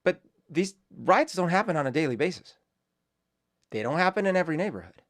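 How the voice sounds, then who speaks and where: A man's voice: a little high, big pitch swings, fairly quick. A man who grew up in Colombia hosts his own show, giving reflective, rambling commentary full of fillers and restarts. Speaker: host. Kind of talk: casual commentary.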